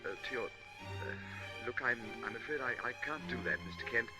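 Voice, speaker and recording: An actor playing a polite, anxious gentleman who hesitates and stammers formally, in an old radio drama. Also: medium pitch, normal speed, close to an everyday voice. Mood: flustered, worried. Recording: old radio recording with music.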